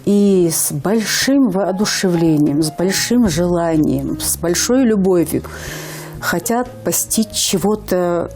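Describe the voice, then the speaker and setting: A woman's voice: on the low side, expressive, takes it slowly, a little mellow. A woman in her fifties from Ingushetia, a language teacher speaking earnestly as a guest on a TV morning show, with hesitant fillers. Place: TV studio.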